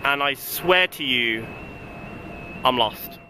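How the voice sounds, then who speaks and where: A man's voice: medium pitch, expressive, takes it slowly, background noise. A man presenting casually and informally, with a wry, self-deprecating manner. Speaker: student documentary presenter. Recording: music behind location audio. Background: music.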